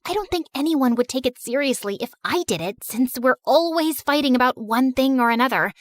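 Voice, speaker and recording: A woman acts a vengeful popular girl from a teen cartoon story. Her voice is high and scheming, recorded very dry. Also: lively intonation, a little fast, dry recording. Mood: conspiratorial.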